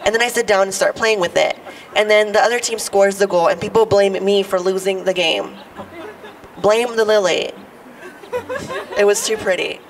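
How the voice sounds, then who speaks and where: Young woman with a low voice doing comedy. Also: steady tone, normal speed, long pauses. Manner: playful, teasing asides to the crowd. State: a little sick. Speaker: performer. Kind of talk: stand-up comedy monologue. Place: outdoors on building steps at a podium. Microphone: podium mic. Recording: distant outdoor mic, faint noise.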